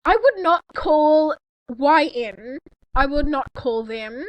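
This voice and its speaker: A woman's voice: high-pitched, expressive, slow pace, dry recording, lo-fi sound. A teenage girl in casual, giggly chat, rambling and tossing sarcastic asides as she reads and comments on a story. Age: teens. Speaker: co-host of a fanfiction reading. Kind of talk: casual chat with mocking read-aloud commentary.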